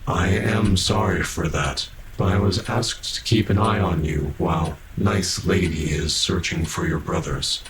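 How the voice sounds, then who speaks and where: Man as an ancient half-machine guardian, deep, slow, gentle, halting, formal, noisy background. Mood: gentle, apologetic. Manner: halting, careful, softly formal. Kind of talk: fan audio drama acting.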